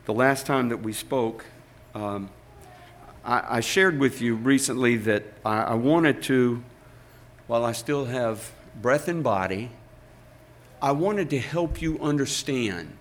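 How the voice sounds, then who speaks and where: An older man, a pastor, reading scripture aloud and preaching a sermon in a slow, deliberate way with emphatic pauses. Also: mid-range voice.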